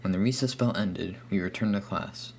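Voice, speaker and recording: A man's voice: low-pitched, moderate pace, a little mellow. A man reading a scripted first-person horror story as its narrator.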